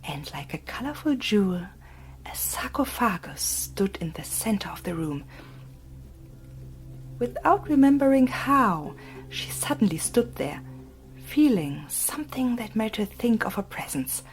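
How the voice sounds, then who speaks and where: Slow, hushed woman narrating an audiobook. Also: mid-range voice, sing-song, low-quality recording. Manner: soft, breathy, hushed. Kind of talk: scripted narration. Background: faint music.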